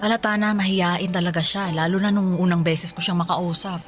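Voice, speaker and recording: A woman playing a gentle, teasing middle-aged mother in a naturalistic radio drama. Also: lowish voice, fairly even intonation, a little fast, soft background music, natural voice. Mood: fond, teasing.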